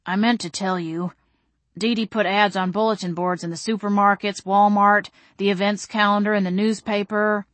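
A woman's voice: mid-range voice, flat delivery, moderate pace, a bit bright, dry recording, close to an everyday voice. A woman voicing a sheepish young male friend in an audiobook.

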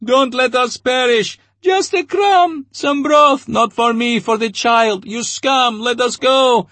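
A man voicing a desperate, starving crowd that pleads and shouts. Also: extremely high pitch, slow pace, dry recording, pitched above the performer's own voice, full character voice. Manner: wailing, pleading.

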